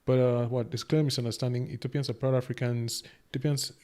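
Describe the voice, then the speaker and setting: A man's voice: mid-range voice, level tone, fast, warm tone. Cameroonian man musing in a casual talk. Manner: thoughtful, rambling with restarts. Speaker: reaction video host. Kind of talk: casual reaction commentary.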